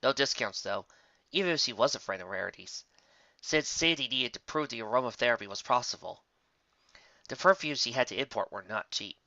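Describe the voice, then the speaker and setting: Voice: medium pitch, expressive, a little fast, pushed and bright, no room sound. A narrator reading scripted story prose aloud with a dramatic storytelling manner. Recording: slightly muffled close dry recording.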